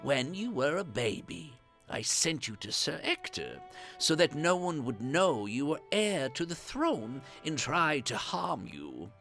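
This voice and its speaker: A storyteller as a slow, wise old wizard. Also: medium pitch, very animated, gravelly, a bit bright, soft background music, exaggerated voice. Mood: gentle, solemn. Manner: slow, solemn, declaring.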